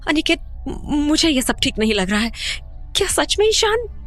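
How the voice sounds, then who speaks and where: A woman acts as an anxious mother in a horror audio drama. Her high voice is urgent and fearful, pressing for answers, with soft music behind her. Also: very animated, moderate pace. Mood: uneasy, insistent. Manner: urgent, agitated. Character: anxious, fearful mother haunted by lost brother.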